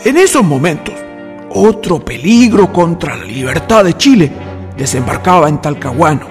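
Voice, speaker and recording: High, animated male narrator in reverb. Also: moderate pace. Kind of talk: dramatic scripted historical narration. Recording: long reverb, music behind.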